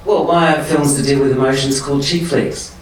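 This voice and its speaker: A man's voice: brisk, a crowd in the background. Impassioned man, distant in a roomy space. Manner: impassioned, emphatic, rising to high pitch when animated. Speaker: guest. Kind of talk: conversational interview. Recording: roomy and distant with faint noise.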